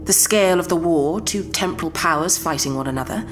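An actress playing a cool, guarded female Time Lord president who speaks in a wry, lively way. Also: on the low side, brisk, faint music behind, natural voice. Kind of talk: audio drama acting.

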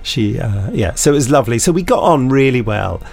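A man's voice: medium pitch, expressive, a little fast, creaky, a little mellow. A British man hosting a podcast, chatting casually with rambling, self-mocking, dryly jokey anecdotes. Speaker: podcast host and audio drama maker.

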